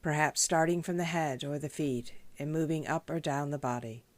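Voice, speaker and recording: A woman leading a guided meditation as a teacher, speaking in a gentle, measured, instructional way. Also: deep voice, no room sound. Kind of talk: guided body scan meditation.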